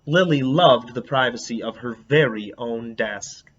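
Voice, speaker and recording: A man reading a picture book aloud as a teacher, a lively storyteller who stops to comment on the pictures. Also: medium pitch, measured pace, breathy edge, dull audio.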